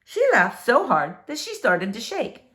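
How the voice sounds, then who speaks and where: A woman narrates a children's storybook with hugely varied pitch that rises and falls across each phrase. Her phrasing is dramatic and deliberate, giving key words clear emphasis. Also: wildly swooping pitch, a bit bright. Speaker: storybook reader. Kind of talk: expressive children's book read-aloud.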